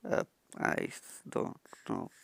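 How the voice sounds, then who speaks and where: A young man who is not a native English speaker, recording a rambling phone tutorial in halting broken English full of restarts, on a handheld microphone. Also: fairly bright tone, no echo. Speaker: tutorial vlogger. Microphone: handheld microphone he keeps touching.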